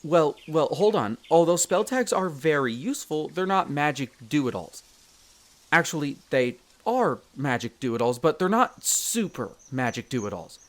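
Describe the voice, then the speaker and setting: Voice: mid-range voice, measured pace. A performer playing a young male elf explorer, a chatty, friendly guide speaking to the listener in an audio roleplay. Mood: enthusiastic explaining. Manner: expressive, chatty, stammers when flustered.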